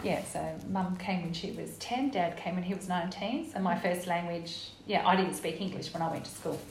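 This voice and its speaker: An Australian woman whose first language was Italian talks in a sure, emphatic way, often agreeing with "absolutely." Her voice sits low for a woman. The room is echoey and there is a faint hiss behind her. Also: normal speed. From Western Australia. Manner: confident, emphatic, frequent "yeah, absolutely". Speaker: guest, executive officer of a gender equity group. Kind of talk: conversational podcast interview.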